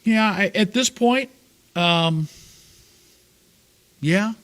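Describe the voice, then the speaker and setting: A man's voice: sits high, drawn-out words, a bit bright, clean tone. A man co-hosting a sports talk show, chatting loosely and laughing between points. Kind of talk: casual sports chat.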